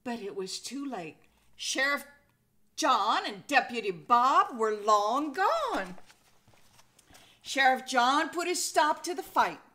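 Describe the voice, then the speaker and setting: An older American woman in her sixties reads a children's picture book aloud with expressive, folksy Western storytelling. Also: high-pitched, slow. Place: indoor room at home.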